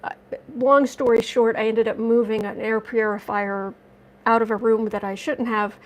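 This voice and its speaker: A woman hosting a casual livestream, chatty and rambling as she reads viewer comments, her voice slightly raspy from dust allergies. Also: a little high, little pitch movement, moderate pace, highs cut off. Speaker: tarot and astrology livestream host.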